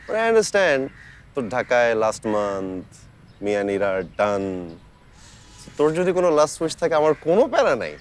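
A man's voice: medium pitch, big pitch swings, normal speed, slight background noise, close to an everyday voice. A young man with a Bangladeshi accent acting a self-centred, cocky student leader in a short-film drama, defensive and slangy, dropping in English. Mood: smug. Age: young adult.

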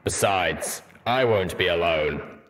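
A man voicing a stoic armored ghoul pony warrior in a deep rumble, slow and measured. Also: crowd noise. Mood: calm, reassuring.

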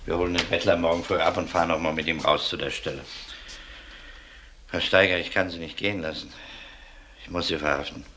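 Very deep-voiced shrewd detective. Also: male voice, fairly even intonation, pushed and bright, slight background noise. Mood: sober, firm. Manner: measured, probing, outwardly courteous. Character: shrewd, methodical male police detective. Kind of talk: German crime radio play acting.

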